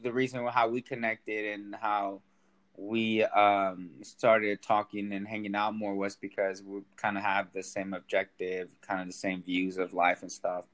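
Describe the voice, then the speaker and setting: A man's voice: on the low side, level tone, unhurried, fairly bright tone. A young man from Sacramento, a stand-up comedian, chatting casually as a podcast guest, self-deprecating and laughing often. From Sacramento, United States.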